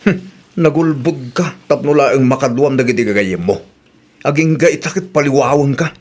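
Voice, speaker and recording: An actor with an Ilocano accent playing a wronged, fed-up young husband in a radio drama. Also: male voice, mid-range voice, normal speed, darkish tone, lightly acted. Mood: reproachful. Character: wronged, fed-up young husband confronting his lying wife.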